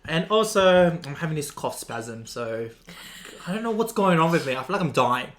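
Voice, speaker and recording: A young man with a hoarse, worn voice, co-hosting a casual chat and rambling with lots of ums and laughs. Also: on the high side, very animated, moderate pace, fairly bright tone, a little hiss or hum. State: hoarse, losing his voice. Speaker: podcast co-host.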